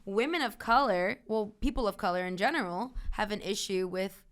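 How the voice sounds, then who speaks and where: A woman co-hosting a podcast in casual, animated chat, laughing a lot as she talks. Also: mid-range voice, moderate pace. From New York. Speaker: podcast co-host.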